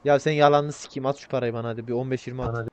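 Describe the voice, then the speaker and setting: A man's voice: medium pitch, fairly even intonation, racing, darkish tone, clear, resonant tone. A man chatting casually while playing a roleplay game, brash and slangy, swearing freely. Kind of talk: casual chat while gaming.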